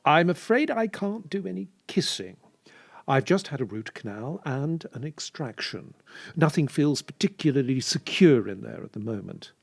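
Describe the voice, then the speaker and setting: A man's voice: mid-range voice, big pitch swings, normal speed, gravelly, slightly rough, no echo, exaggerated voice. An actor playing a courteous, chatty male escort with a plummy British voice, droll and theatrical, in a comedy drama. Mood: droll, businesslike. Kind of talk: comedy audio drama dialogue.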